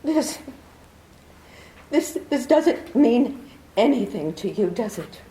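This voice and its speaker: A woman plays a desperate, scheming femme fatale in a 1940s-style radio play. Her voice is high and breathy, and she pleads in a theatrical way, the pitch moving up and down a lot. The room around her is echoey. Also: very animated, takes it slowly, dark tone. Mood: shaken. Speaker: actor. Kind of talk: 1940s radio drama acting.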